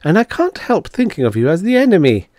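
Man voicing an anxious woman, raised pitch. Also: wildly swooping pitch, normal speed, a little mellow, no echo, studio quality, heavily acted. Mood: miserable, wary. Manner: emotional, doubtful, wry. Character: anxious, stubborn, sharp-tongued human woman. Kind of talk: audiobook character dialogue.

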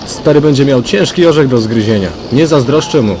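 An actor playing a grumbling, sceptical younger detective chatting colloquially in a crime radio drama. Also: male voice, medium pitch, fairly quick, noisy background, natural voice. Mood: grumbling, puzzled.

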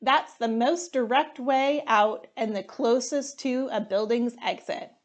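Woman reading as calm, higher-voiced lady teacher. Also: a little slow, close to an everyday voice. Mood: reassuring. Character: calm, caring female animal schoolteacher.

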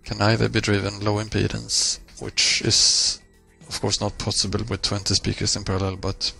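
Male tutorial presenter, husky and fairly flat in intonation, measured explanatory delivery. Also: on the low side, soft background music, poor audio. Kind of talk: screencast software walkthrough, explanatory.

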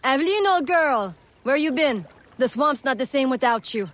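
High-voiced, brash swamp smuggler. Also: female voice, lively intonation, moderate pace, a bit bright. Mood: wry, familiar. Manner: folksy, teasing, colloquial. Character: brash, wry swamp-dwelling woman smuggler, hearty and familiar. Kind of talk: video game cutscene acting.

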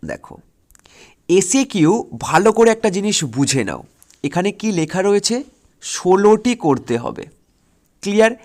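A man's voice: high voice, very animated, a little slow, long pauses, a little mellow. A man teaching exam preparation, explaining step by step and often stopping to check that students follow. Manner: instructive, often checks understanding with short questions; repetitive, step-by-step counting and listing.

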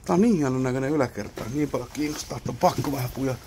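A man's voice: mid-range voice, dark tone, slight background noise. Man muttering through an abandoned cabin. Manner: muttering, wondering aloud while poking around. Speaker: abandoned-places explorer. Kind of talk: casual exploration vlog commentary. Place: abandoned wooden cabin, indoors.

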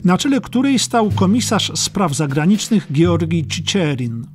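High-pitched man narrating over music. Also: sing-song, measured pace. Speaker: audiobook narrator. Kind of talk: scripted documentary history narration.

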